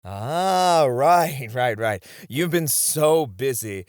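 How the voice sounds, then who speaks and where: A man playing a suave, playful club host in a flirty ASMR roleplay, with smooth, teasing, theatrical hospitality. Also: medium pitch, lively intonation, extremely slow, no echo, studio quality. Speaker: club host showing a guest around.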